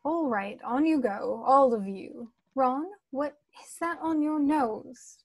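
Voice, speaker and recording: A woman playing a brisk, scolding but loving witch mother in a table read, firm and motherly. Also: high voice, breathy edge, full character voice. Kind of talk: online table read of a screenplay.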